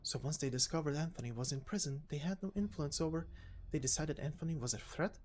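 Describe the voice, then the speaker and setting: A woman's voice: deep voice, quick pace, darkish tone, a little hiss or hum, voice pushed down, natural voice. A woman voicing a serious, reserved and troubled woman who questions things earnestly in a game story reading. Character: serious, reserved, troubled woman doubting what she hears. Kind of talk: voiced visual novel story reading.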